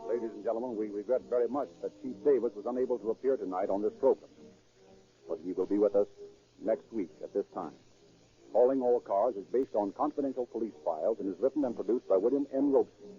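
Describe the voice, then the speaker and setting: Muffled vintage American radio announcer, male. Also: fairly low pitch. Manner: formal, measured delivery. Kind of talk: 1930s scripted radio announcing. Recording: muffled, worn 1930s radio recording.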